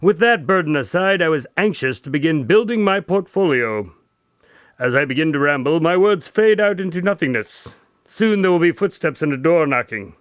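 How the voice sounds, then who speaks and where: A man's voice: on the high side, animated, moderate pace, clear, resonant tone, no echo. A man, a voice actor, playfully rambling through a mic check and outtake chatter.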